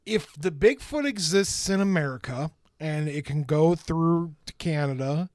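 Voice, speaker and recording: A man's voice: fairly high pitch, animated, measured pace, darkish tone, no echo, studio quality. A man chatting as a podcast guest, rambling and joking, often laughing. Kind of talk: casual chat.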